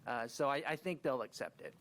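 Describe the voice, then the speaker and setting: A man's voice: mid-range voice, fairly quick, a bit bright, a little hiss or hum. An American Midwestern man on county staff explaining a project at a commission meeting, with many fillers and restarts.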